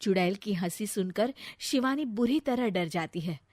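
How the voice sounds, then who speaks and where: Female narrator for animated moral tales: scripted storytelling read, very dry close recording. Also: medium pitch, normal speed, no echo.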